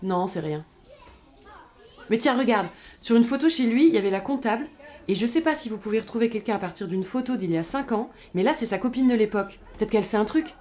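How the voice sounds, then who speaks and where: A woman plays a frank but guarded, inquisitive woman in an audio drama. Her voice is on the high side, with a direct, everyday way of talking. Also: expressive, quick pace, darkish tone, close to an everyday voice. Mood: confiding. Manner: colloquial, direct.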